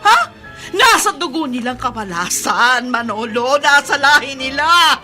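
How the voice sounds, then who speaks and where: A resentful, sharp-tongued wife in a Filipino radio drama. Her voice is very high and piercingly bright, forever scolding and exasperated, with faint music behind. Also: very animated, unhurried, exaggerated voice. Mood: furious, blaming. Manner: scornful, scolding, loudly exasperated.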